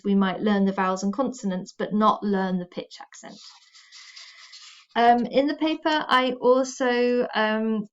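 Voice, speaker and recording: A woman from the United Kingdom, a university lecturer explaining her research in a measured, explanatory way. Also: medium pitch. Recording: slightly dull recording.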